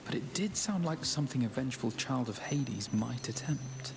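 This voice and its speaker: A man voicing a hearty, boastful sea god in a video game, jovial and booming. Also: animated, normal speed, vocal fry, background noise. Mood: amused, skeptical.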